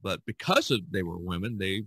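A man's voice: sits low, very animated, a little fast, sharp tone, husky, no echo. A man hosting a podcast in casual chat, rambling and often repeating himself. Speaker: podcast host.

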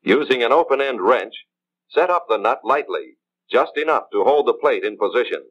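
An American man reading scripted instructional narration in a measured, formal way, as in a 1950s training film. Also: medium pitch, animated, husky. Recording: dull 1950s film soundtrack.

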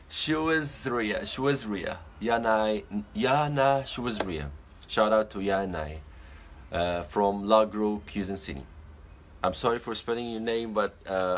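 Young man, slightly low voice, friendly livestream chat, phone at arm's length, faint background hiss. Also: fairly bright tone. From Egypt. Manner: friendly, greets viewers by name. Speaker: livestream host. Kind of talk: casual livestream chat reading comments. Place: small bedroom indoors. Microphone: phone camera at arm's length.

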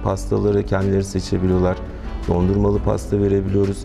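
A man's voice: low-pitched, completely flat delivery, fast talker, creaky, warm tone, faint music behind. A man in his forties from Turkey, a wedding hall owner answering interview questions hesitantly, with many filler sounds, recorded indoors in a decorated hall. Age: 40s. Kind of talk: business interview.

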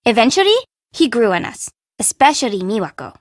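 A woman's voice: a little high, big pitch swings, fairly quick, no room sound, clean studio sound. An actress voicing a flippant, sassy young fashion-minded city girl in a video game, with a teasing, slangy, blunt way of talking. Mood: chatty, teasing. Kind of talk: video game character dialogue.

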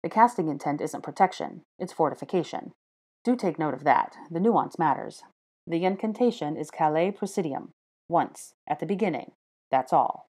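A woman voicing a composed, posh young man, measured and formal in delivery. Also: deep voice, expressive, a little mellow, husky. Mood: instructive.

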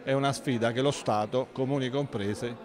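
A man gives formal interview answers as a mayor, in measured institutional phrasing. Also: mid-range voice, steady tone. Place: large indoor council hall. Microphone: handheld interview microphone.

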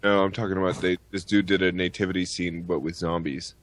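Deep-voiced, blunt man on a podcast. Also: little pitch movement, fast talker, dry recording. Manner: blunt, heavy swearing. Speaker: podcast host. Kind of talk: ranting, profane podcast chat.

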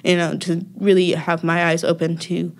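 Chatty young woman, lower voice. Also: level tone, normal speed. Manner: chatty, many ums, laughs often. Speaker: podcast guest, music festival worker. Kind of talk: casual interview chat.

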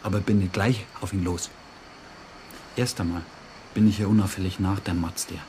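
An actor as a low-voiced Bavarian man in a radio crime drama, spiteful and quick to take offence. He justifies himself brusquely, in a naturalistic dialect delivery. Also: steady tone, mellow, slight background noise, lightly acted. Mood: heated, spiteful. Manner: brusque, indignant, self-justifying. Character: defensive, spiteful Bavarian brother-in-law under police questioning.

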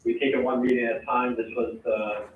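A man chairing a school board meeting, halting and full of fillers as he sums up motions. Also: mid-range voice, little pitch movement, noisy background. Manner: halting, many fillers and restarts. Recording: echoey, distant, tinny meeting audio.

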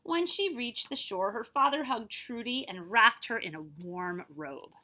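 A woman in her forties reads a storybook aloud in a bright, fairly high voice. Her delivery swings widely in pitch as she narrates. She steps out of the text to explain things plainly and check that listeners follow. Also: expressive, a little slow. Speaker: librarian reading a picture book aloud. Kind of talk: animated storybook read-aloud with explanatory asides.

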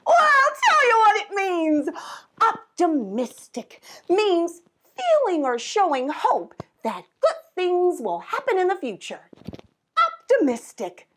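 Woman doing a high-pitched zany vocabulary teacher. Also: wildly swooping pitch, takes it slowly, a bit bright, slight background noise, exaggerated voice. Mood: exuberant. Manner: exclamatory, theatrical, cackling. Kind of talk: children's educational segment.

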